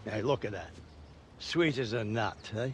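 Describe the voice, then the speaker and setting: An actor playing a genial, nostalgic older English former footballer in a video game cutscene. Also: male voice, fairly low pitch, lively intonation, unhurried, a little hiss or hum.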